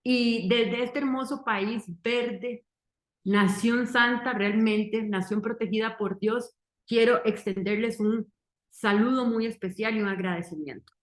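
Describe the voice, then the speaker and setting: Costa rican lady, 40s, tica accent, earnest testimonial talk, slow emphatic bits, echoey room. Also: on the high side, fairly even intonation. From Costa Rica. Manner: earnest, deliberate, speaks in short emphatic phrases. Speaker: marketing entrepreneur giving a testimonial. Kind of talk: success-story testimonial speech. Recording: roomy echo.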